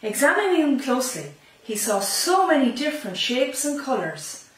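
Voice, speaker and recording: A woman's voice: high voice, big pitch swings, moderate pace. A middle-aged Irish woman reading a picture book aloud to young children, expressively and with chatty asides, in a library room. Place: small library room lined with bookshelves. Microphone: camera a few metres away. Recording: echoey room, slightly distant mic.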